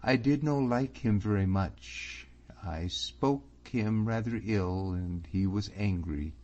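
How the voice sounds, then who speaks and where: A man's voice: lowish voice, slow, darkish tone. A man reading an audiobook, voicing an earnest young man who pleads anxiously, close to his own voice. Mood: uneasy. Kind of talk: audiobook character voice. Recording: slightly muffled recording.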